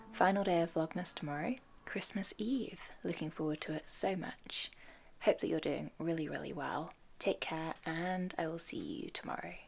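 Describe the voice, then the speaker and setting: A woman's voice: on the low side, vocal fry, darkish tone. A young woman with an English accent chatting casually and enthusiastically to camera as a vlogger.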